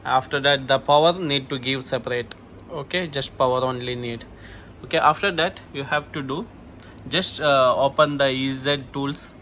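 A man with a medium-pitched voice presents a technical walkthrough as a non-native English speaker. He talks in clipped, halting instructions and repeats okay often. The phone recording has clear room noise behind him. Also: pushed and bright. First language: not English. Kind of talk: hands-on product setup walkthrough. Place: indoor shop or office.

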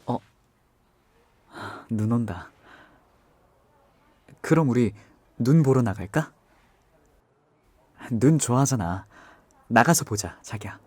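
A young man voicing a gentle, devoted boyfriend in an ASMR audio drama, speaking softly and tenderly. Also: medium pitch, animated, slow, pauses often, slightly rough, no room sound. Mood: gentle, caring. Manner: soft-spoken, hushed and tender. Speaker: voice actor.

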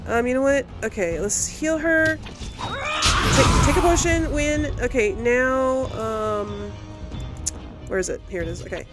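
High-voiced woman playing a game, thinking aloud. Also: measured pace. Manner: thinking aloud, reactive, self-talking. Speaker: let's play host. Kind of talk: casual live gameplay commentary. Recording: loud game music under voice.

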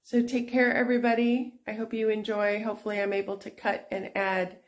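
A middle-aged woman hosting a casual vlog while showing her antique shop booth, talking in an unhurried, chatty way with fillers and restarts. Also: medium pitch, level tone. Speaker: antique shop owner and channel host.

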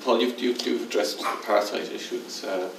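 Deep-voiced older man moderating, echoey. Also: moderate pace, a little hiss or hum. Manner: stumbling, restarts his questions. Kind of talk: moderating a panel Q&A. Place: stage in a dim theatre hall. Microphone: far camera. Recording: echoey hall from far off.

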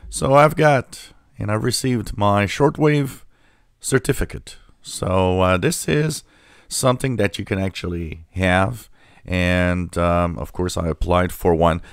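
Male hobby channel host, deep voice, informal and halting conversational delivery, dry sound. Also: smooth, no room sound. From Canada. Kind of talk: casual screen-share explainer.

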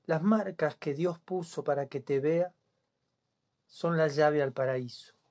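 A man in his fifties with a Buenos Aires accent reads poetry solemnly and deliberately, leaving long pauses. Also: a little high, darkish tone. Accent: Buenos Aires Spanish. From Buenos Aires province, Argentina. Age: 50s. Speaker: poet.

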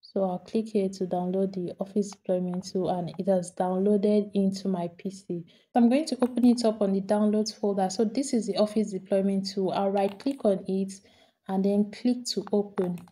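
A woman talking through a screen-recorded software tutorial, step by step, repeating each action as she does it. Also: medium pitch, fairly even intonation, normal speed. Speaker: tutorial host.

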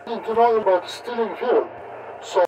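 A truck driver recounting events in a halting, repetitive vlog style, often acting out both sides of conversations. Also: medium pitch, darkish tone, slight background noise, low-quality recording. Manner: halting, repetitive telling with quoted dialogue.

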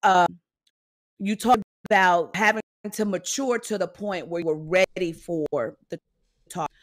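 A woman's voice: lowish voice, a little slow, poor audio. A woman guest speaking in an emphatic, preacherly, inspirational way. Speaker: podcast guest.

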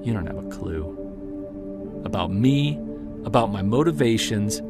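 A man playing a driven, defiant Bigfoot hunter narrating an audio-drama journal with earnest intensity and emphatic pauses. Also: lowish voice, animated, over loud music. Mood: defiant, determined.

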